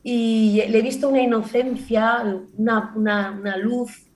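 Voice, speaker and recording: A woman's voice: fairly high pitch, a little slow. A woman with a Barcelona Spanish accent, born in Granada, chatting as a comedy writer and performer guest, telling stories with laughter and restarts. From born in Granada, raised in Barcelona. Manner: lively storyteller, laughs often, many restarts. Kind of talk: casual interview conversation.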